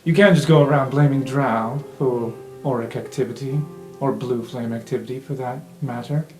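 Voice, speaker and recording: A man voicing a knowing, wry informant in an improvised fantasy roleplay podcast, explaining in measured phrases with theatrical pauses. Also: mid-range voice, steady tone, darkish tone, close to an everyday voice. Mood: guarded, speculative. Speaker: game master. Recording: roomy sound with guitar music underneath.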